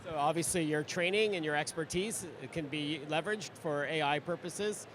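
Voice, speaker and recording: A man interviewing a guest at a conference, asking brisk, upbeat questions. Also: a little high, a bit bright, low-quality recording. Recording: faint street and vehicle noise.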